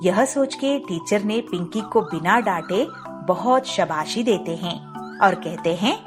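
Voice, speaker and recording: A woman's voice: medium pitch, expressive, a little slow, over music. A woman narrating a children's moral story as a storyteller.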